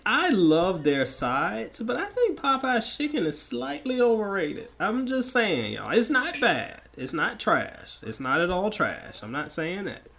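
A man from the American South co-hosting a podcast, hoarse and raspy from the flu, joking and opinionated in casual chat. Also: high-pitched, huge pitch swings, a little slow, few pauses, fairly bright tone. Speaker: podcast co-host.

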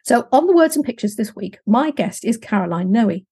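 A middle-aged woman with a husky, slightly croaky voice, hosting a podcast in a chatty, informal, rambling way. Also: a little high, lively intonation, brisk, mellow, a little hiss or hum. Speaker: podcast host. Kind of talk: casual conversational podcast hosting.

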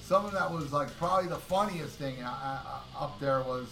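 A loud-voiced man co-hosts a podcast in a brash, boastful, profane manner. His voice sits a little high and sounds roomy. Music plays behind him. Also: normal speed, creaky. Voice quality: very loud, powerful voice. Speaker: podcast co-host. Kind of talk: casual podcast chat.

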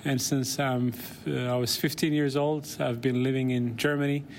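A man's voice: mid-range voice, level tone, normal speed, a little mellow, slight background noise. Thoughtful man from Ethiopia. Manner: thoughtful, with frequent "right?" tags and restarts. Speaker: pastor. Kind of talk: casual interview answers.

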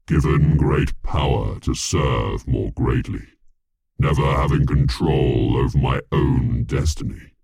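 An ancient winged archangel speaks in an extremely deep, gravelly rumble. Each word comes slow, heavy and husky. The recording is dry and close. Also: male voice, darkish tone, dry recording, exaggerated voice. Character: ancient enslaved winged archangel, male, vast, solemn.

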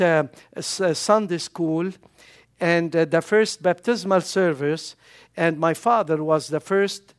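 An older man with a Lebanese accent, a missionary telling his testimony to a church congregation, unhurried and full of pauses. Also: sits high. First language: Arabic. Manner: unhurried storytelling with frequent uh fillers.

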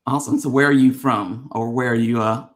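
A man's voice: mid-range voice, fairly even intonation, brisk. A man hosting a casual interview show, asking rambling, friendly questions with plenty of ums and restarts. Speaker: podcast host.

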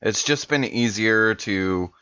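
Slightly muffled audio carries a man acting a laid-back young man in a tabletop roleplay. The voice sits low, and the delivery is relaxed, casual and wry. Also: slow, smooth.

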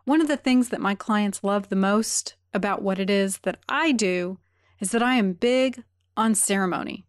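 A woman's voice: mid-range voice, moderate pace. Upbeat woman hosting a podcast. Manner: upbeat, enthusiastic. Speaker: podcast host. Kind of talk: casual interview podcast.

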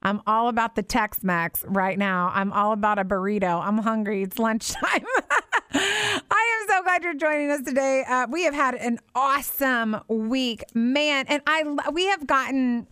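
A woman's voice: a little high, lively intonation, measured pace, sharp tone, clean tone, no echo, studio quality. A woman hosting a radio talk show, chatting casually and rambling with lots of fillers and restarts. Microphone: studio microphone.

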